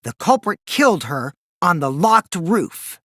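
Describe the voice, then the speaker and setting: A mischievous teenage boy from a video game, with wildly animated pitch and a close, dry sound. He taunts in a childish singsong and swings theatrically between glee and cool, sly scheming. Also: unhurried, no room sound, exaggerated voice. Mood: explaining. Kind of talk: English video game character voice acting.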